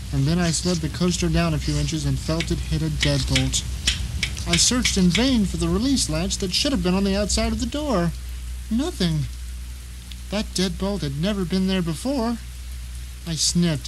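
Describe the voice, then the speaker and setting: A man playing a bitter, paranoid blind novelist who narrates his nightmare in a wry, grumbling, theatrical way for an audio drama. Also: sits high. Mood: confused, irritated. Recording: noticeable rain and ambient noise behind.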